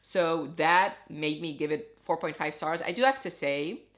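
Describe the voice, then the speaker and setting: A woman's voice: low voice, a little slow. A woman whose first language is Dutch, chatting casually and expressively as she gives an emphatic review to camera. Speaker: book reviewer.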